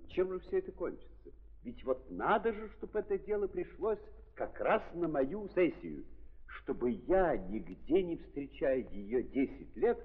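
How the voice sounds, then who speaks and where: Husky, dramatic male narrator. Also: mid-range voice, exaggerated voice. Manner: theatrical, dramatic pauses, swelling emphasis. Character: grave, expressive literary narrator of a tragic novel. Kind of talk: 1930s Russian literary stage narration. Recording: tinny, rough 1930s recording with reverb.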